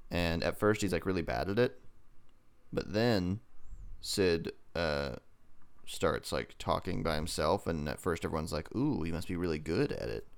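A man's voice: low-pitched, vocal fry, clean tone. A man co-hosting a podcast, chatting casually through a TV episode review in a rambling, joking way. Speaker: podcast co-host.

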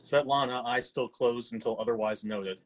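A man's voice: medium pitch, fairly even intonation, moderate pace. A man reading out stage directions as scripted narration for a readers theatre play.

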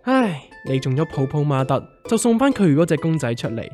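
A man's voice: fairly high pitch, very animated, a little fast, music in the background. Man playing a wry cautious buddy, Hong Kong Cantonese accent, swooping intonation, exaggerated comic radio-drama delivery. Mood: exasperated, teasing. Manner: exaggerated, exasperated. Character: wry, sceptical big-guy pal who urges caution.

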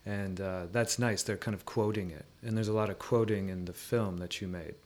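A man's voice: on the low side, steady tone, normal speed, darkish tone. A man in a conversational arts discussion, commenting and putting questions as an interviewer.

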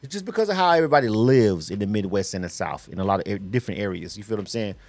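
A man's voice: lowish voice, lively intonation, racing, darkish tone, dry recording. A middle-aged man from Florida hosting a radio interview, loose and slangy, rambling and peppering his talk with casual asides. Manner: loose, slangy, rambling with frequent "you know what I'm saying". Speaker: radio host.

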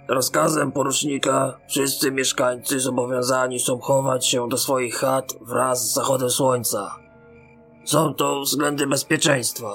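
Grave, respectful town-guard ensign played by a man, fantasy radio play, gravelly middle-pitched voice. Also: fairly bright tone. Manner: respectful, grave military reporting. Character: battle-weary male ensign defending a besieged fantasy town. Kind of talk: fantasy audio drama acting.

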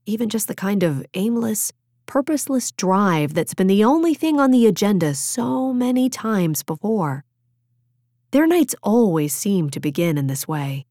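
A woman reading a novel as an audiobook narrator, measured and expressive in her storytelling. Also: mid-range voice, no room sound, clean studio sound.

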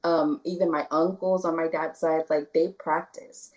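A woman from the Rio Grande Valley in Texas, a guest talking casually in an interview, soft-spoken with many fillers and restarts. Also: low-pitched, flat delivery, normal speed. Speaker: guest, spiritual candle maker.

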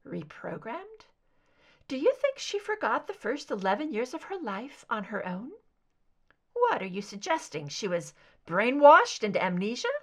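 Woman reading as a tough female agent, defiant, blunt, dry sarcasm, lively intonation, audiobook thriller dialogue. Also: a little high, measured pace. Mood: irritated, challenging.

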